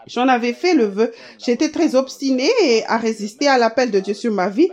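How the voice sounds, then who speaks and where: Woman doing a calm dub voice-over, slightly high voice, even and steady. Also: moderate pace. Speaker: voice-over translator. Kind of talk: read French voice-over of a testimony video.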